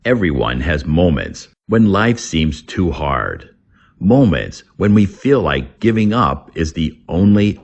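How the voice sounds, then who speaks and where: A man reading a scripted English lesson aloud as a teacher, deliberately, word by word. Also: very deep voice, gravelly, lo-fi sound. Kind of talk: scripted lesson narration, slow read-aloud.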